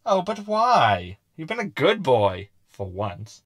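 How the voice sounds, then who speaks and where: A man's voice: on the high side, wildly swooping pitch, slow pace, no room sound, studio quality, heavily acted. A man playing a snide, teasing crewmate with a sarcastic manner in a sci-fi comedy audio drama. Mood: mocking. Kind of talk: sitcom audio drama acting.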